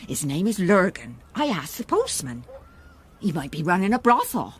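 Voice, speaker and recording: Insistent elderly busybody, voice swooping wildly. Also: female voice, fairly low pitch, sing-song, moderate pace, slightly rough, exaggerated voice. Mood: insistent, suspicious. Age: older. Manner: gossipy, insistent, bossy. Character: nosy, insistent elderly female neighbour pestering police. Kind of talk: radio crime drama acting.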